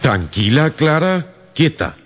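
An actor playing a cruel, domineering stepfather in a radio drama, cold, menacing and gravelly. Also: a little high, very animated, takes it slowly, full character voice. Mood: cold menace. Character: cruel, domineering stepfather who bullies a small boy.

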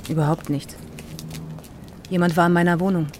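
A woman's voice: on the low side, steady tone, moderate pace, background noise, close to an everyday voice. Guarded, cool woman, played by an actress. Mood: wary. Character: guarded, direct East German woman, sceptical sister. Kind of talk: understated radio drama acting.

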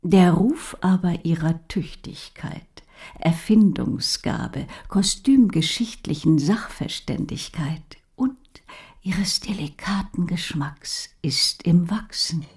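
An actress playing a composed woman who presents an artist's life in a hushed, breathy radio drama narration. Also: lowish voice, expressive, takes it slowly, whispered. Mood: hushed, measured.

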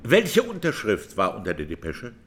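An actor playing a formal presiding judge who questions witnesses in a probing, emphatic way in a courtroom radio drama. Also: male voice, on the low side, wildly swooping pitch, normal speed.

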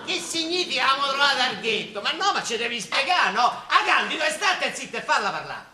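An actor playing an impatient, blustering bricklayer father in an Italian stage drama, loud and quarrelsome, with a Roman accent. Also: very high voice, sing-song, brisk, heavily acted. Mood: irritated. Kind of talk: Italian stage comedy-drama acting in dialect. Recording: echoey stage recording.

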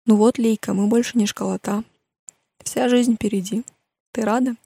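A woman's voice: a little high, fairly even intonation, moderate pace, creaky, mellow, slightly rough, dry recording, clean studio sound. A woman voicing a thoughtful, questioning teenage boy in an audiobook, in colloquial speech. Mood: eager.

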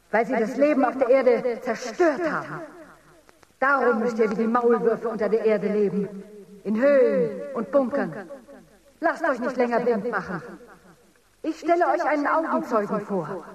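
A woman's voice: a little high, a little mellow, distant mic. An actress playing an urgent, accusing young rebel who proclaims her message in a German radio drama. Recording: echoey room, off the microphone.

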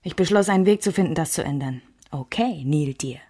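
Radio drama narrator: candid, wistful woman, low mellow voice, intimate dry delivery, reflective. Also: animated, brisk, breathy edge, no room sound, full character voice. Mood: resolved, bitter. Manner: reflective, alternates German with English phrases. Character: woman recalling a love triangle, wistful and candid. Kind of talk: intimate first-person scripted radio narration.

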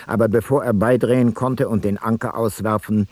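A man playing an ancient Greek seafarer, reciting a ship's logbook in a solemn, measured way for a radio play. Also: on the low side, steady tone, a little mellow, dry recording, natural voice. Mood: grave, solemn.

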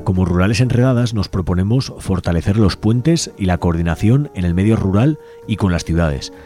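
A man presenting a community radio show, reading out a manifesto and describing a project in a measured, slightly halting way. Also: deep voice, lively intonation, dark tone, soft background music. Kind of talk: community radio presentation, partly read aloud.

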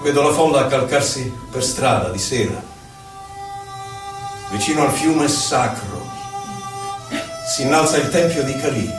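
A man's voice: mid-range voice, lightly acted. Man acting a theatrical poet in echoing space. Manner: theatrical, declamatory, measured phrasing. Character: a poet recalling his life and travels, impassioned and solemn. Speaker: actor in a poetic theatre piece for radio. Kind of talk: dramatic poetry recital. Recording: roomy echo, distant mic, violin music. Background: violin music.

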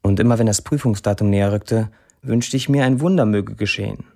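An actor playing a young, disillusioned Syrian man who delivers a sardonic, deliberate confessional monologue in a radio drama. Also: lowish voice, vocal fry, warm tone. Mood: wry.

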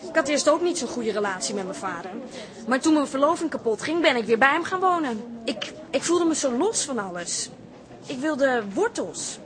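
An actress playing an earnest, sensitive young woman in a radio drama, gently questioning. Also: high voice, animated, moderate pace, over music. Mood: wistful. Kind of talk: naturalistic radio drama acting.

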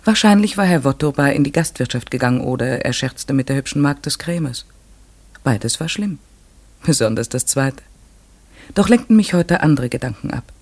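Troubled first-person narrator, radio play, mid-pitched, measured, literary, pensive. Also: male voice, lively intonation. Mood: reflective. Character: troubled, reflective male narrator, a village lodger.

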